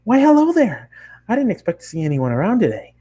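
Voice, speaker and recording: A man voicing a bubbly, excitable young bakery girl in a storybook reading, with gushing, rapid speech. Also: full character voice. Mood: bubbly, excited. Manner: gushing, rapid, very high-pitched.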